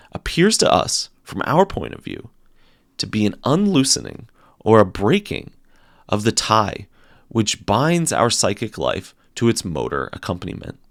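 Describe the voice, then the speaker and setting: Male narrator for philosophy text: lowish pitch, swooping intonation, theatrical and deliberate delivery. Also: vocal fry, dry recording, clean studio sound. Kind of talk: dramatic audiobook-style reading of a philosophical text.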